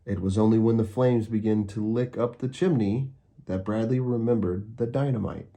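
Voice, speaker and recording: A man narrating a children's storybook aloud, expressively and with deliberate, dramatic emphasis. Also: on the low side, mellow.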